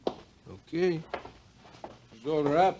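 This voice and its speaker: An actor playing a timid but insistent shopkeeper with a Spanish accent and halting, broken English in a 1950s radio drama. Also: male voice, on the high side, dark tone, slight background noise. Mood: hesitant, insistent. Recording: vintage radio broadcast, off mic.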